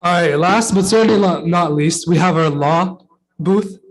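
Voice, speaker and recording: A young man emceeing a youth career fair, briskly reading out speaker introductions. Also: high voice, low-quality recording. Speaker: event emcee.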